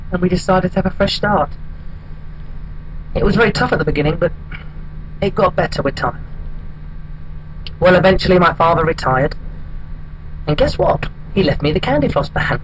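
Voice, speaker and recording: Casting: actor as hapless wistful bachelor, high male voice, deadpan and halting comic monologue, noisy homemade recording. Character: hapless, wistful single man telling his odd life story.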